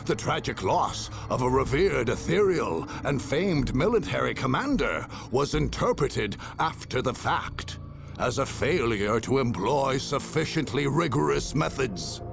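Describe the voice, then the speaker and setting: An actor narrating a game cutscene as a solemn, authoritative male war chronicler, in a measured, dramatic manner. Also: medium pitch, sing-song, gravelly, over music. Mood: solemn, grand.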